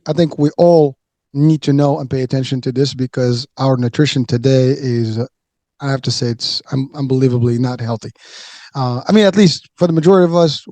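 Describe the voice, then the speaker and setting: A middle-aged man hosts a health talk show in a casual, rambling way, often circling back and restarting his phrases. His voice is mellow and dark. The sound is dry and close. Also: medium pitch, no room sound. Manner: loose and rambling, frequent restarts and fillers. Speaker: host. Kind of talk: casual radio show hosting.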